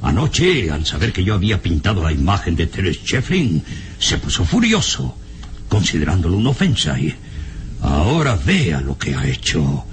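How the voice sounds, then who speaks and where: An actor playing an elderly, earnest and worried professor in a radio serial, speaking haltingly and solemnly. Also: male voice, low-pitched, wildly swooping pitch. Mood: accusing, insistent. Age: older. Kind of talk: radionovela acting. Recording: noisy old radio serial recording.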